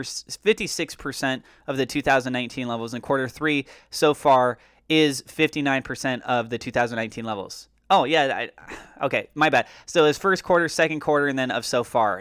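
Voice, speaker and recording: A man's voice: mid-range voice, a bit bright, smooth, no room sound, clean studio sound. A man giving a casual recap as a finance YouTuber, speaking in an informal, emphatic way and correcting himself as he goes. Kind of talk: casual recap commentary over notes.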